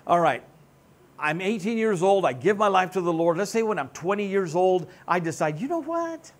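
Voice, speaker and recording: A man in his sixties preaching a sermon, animated and joking, on a church stage. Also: sits high, normal speed. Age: 60s. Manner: animated, joking, gestures broadly while seated. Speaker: pastor. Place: church stage with band gear.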